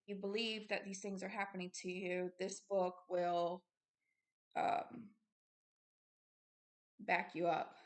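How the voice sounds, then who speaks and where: An earnest woman hosts an informal religious video with a mid-range voice. She moves between reading aloud and her own commentary. Her delivery is halting, with insistent asides. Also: medium pitch, level tone.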